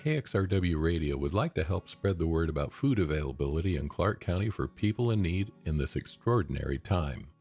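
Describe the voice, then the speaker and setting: A man's voice: sits low, lively intonation, a little fast, hardly any pauses, darkish tone, husky. An American man reading scripted public service announcements for radio.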